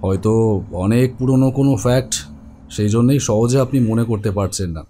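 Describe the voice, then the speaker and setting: A man voicing a learned psychiatrist who explains things to a patient in a deliberate, measured way. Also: mid-range voice, warm tone, slight background noise, lightly acted. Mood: calm, explanatory. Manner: deliberate, explanatory, hesitant hums.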